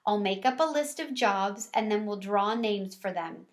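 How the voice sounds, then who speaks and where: A woman's voice: medium pitch, unhurried, lightly acted. A woman voicing a kindly, practical female primary school teacher in a children's storybook, patiently explaining.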